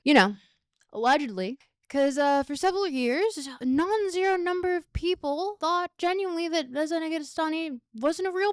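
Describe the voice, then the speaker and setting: A woman with a high voice narrates a scripted video essay. Her delivery is conversational and irreverent, full of sardonic asides. The sound is dry, with hardly any room in it. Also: dry recording.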